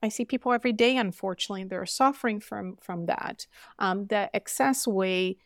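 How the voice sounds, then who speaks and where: A woman's voice: mid-range voice, brisk, dry recording, studio quality. A middle-aged woman from Brazil, a physician guest speaking earnestly and emphatically in conversation, with frequent fillers. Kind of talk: conversational interview.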